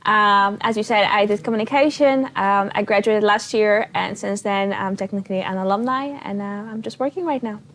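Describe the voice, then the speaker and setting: A woman's voice: mid-range voice, fairly quick, few pauses, a bit bright. A woman, chatting casually as a panel guest. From Bulgaria. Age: 20s. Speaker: panel guest, recent graduate.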